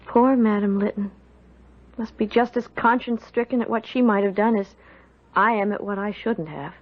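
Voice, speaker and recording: A woman's voice: medium pitch, moderate pace, natural voice. An actress playing an earnest, guilt-ridden young servant girl in old-time radio drama, with a sensitive, dramatic delivery. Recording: muffled old radio broadcast.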